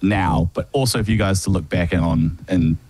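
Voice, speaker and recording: A man interviewing a young band, full of praise and pausing often with fillers. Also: deep voice, a little mellow, dry recording. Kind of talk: casual interview.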